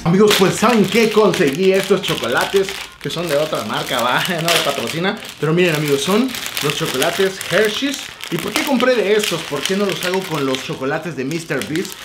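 A man in his thirties with a Mexican accent, vlogging in a loud, joking, animated way, filmed close up by his own camera. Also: fairly high pitch, background noise. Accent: Mexican Spanish. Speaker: YouTuber. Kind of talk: excited vlog presenting. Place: small room with foam panels, and a store.

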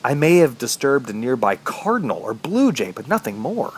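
An actor playing an injured, thirsty trapped man, narrating his ordeal in a brooding, halting, dramatic way for a horror radio play. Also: mid-range voice, big pitch swings, slight background noise, lightly acted. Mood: grim, brooding.

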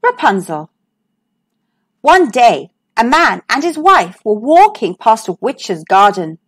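Slow, sing-song woman reading a storybook. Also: a little high, very animated. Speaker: storyteller, channel host. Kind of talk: reading a picture book aloud to children.

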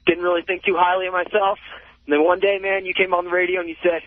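A man calling in to a radio show, earnest and grateful, speaking quickly. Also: high-pitched, level tone, no room sound. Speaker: caller. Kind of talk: call-in radio talk. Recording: tinny phone line on radio.

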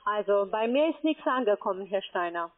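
A woman's voice: mid-range voice, expressive, fairly quick. A woman working as a call center agent, speaking German with broken grammar and pushing insistently. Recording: tinny phone line.

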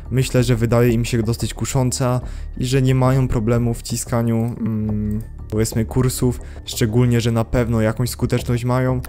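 A man in his thirties hosting a crypto channel, giving measured critical commentary in a voice-over and speaking straight to his viewers. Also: medium pitch, mellow.

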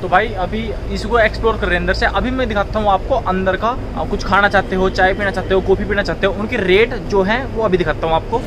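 A man's voice: high voice, steady tone, quick pace, few pauses, noisy background. A man in his twenties with a western Uttar Pradesh accent, chatting informally to viewers as a vlogger. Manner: informal, addresses viewers directly. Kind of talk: casual vlog chat. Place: outdoors, roadside and restaurant garden.

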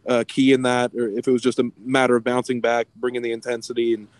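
A man's voice: medium pitch, completely flat delivery, quick pace, dry recording. A male reporter asking a coach questions at a press conference, rephrasing as he goes. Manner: searching, rephrases mid-question.

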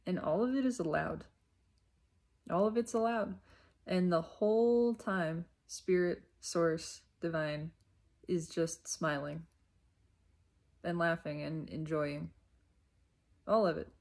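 A woman giving an unscripted, reflective spiritual monologue in short, halting, repeated phrases, with a husky, creaky voice. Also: fairly low pitch, a little mellow. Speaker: self-reflective spiritual vlogger.

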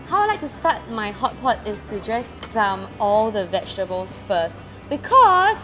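A woman's voice: medium pitch, big pitch swings, moderate pace, bright, forceful tone, rough recording. A young woman with a Singaporean accent, chatting enthusiastically as she hosts a casual food review. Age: young adult. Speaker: food show host. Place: indoors at restaurant tables. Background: music.

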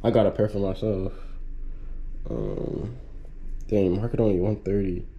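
Easygoing low-voiced man selling sneakers. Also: big pitch swings, measured pace, vocal fry, lo-fi sound. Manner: easygoing, offhand, laughs a little. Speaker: sneaker seller. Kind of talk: casual haggling at a meetup. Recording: noisy background.

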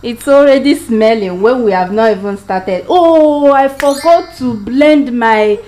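Nigerian woman, young, unhurried cooking chatter, echoey kitchen, clear background noise. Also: a little high, mellow. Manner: chatty, talks to viewers as she works. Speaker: cooking vlogger. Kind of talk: casual cooking demonstration. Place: home kitchen, indoors.

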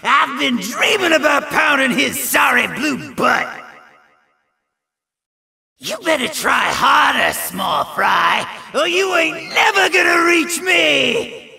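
A manic monster henchman from a video game, yelling in a piercingly sharp, screechy voice. The delivery is slow and drawn out, full of heavy gravel. He comes across as a brash, short-fused bully who loves to taunt. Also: very high-pitched, animated, faint music behind, heavily acted. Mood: aggressive taunting. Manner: wild, taunting, slangy. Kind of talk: exaggerated video game villain acting.

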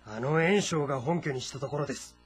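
An actor playing a calm, deferential adviser to a warlord in a historical game drama, speaking formally and deliberately. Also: male voice, fairly high pitch, slightly rough. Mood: cautious, counselling. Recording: music behind the voice. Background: background music.

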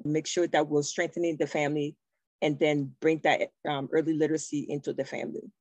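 A woman's voice: low voice, level tone, a little fast, a little mellow, no echo. Middle-aged woman from liberia on a video call, earnest storyteller, dry close sound. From Liberia, West Africa. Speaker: nonprofit founder, panelist. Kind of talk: personal introduction on a video call.

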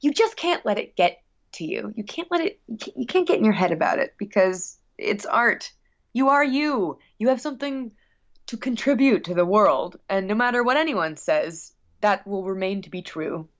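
A woman talks as a guest musician in a casual interview. Her manner is earnest and reflective, with a medium-pitched voice. The recording is a little dull and lo-fi. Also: expressive, normal speed. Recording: dull, lo-fi sound.

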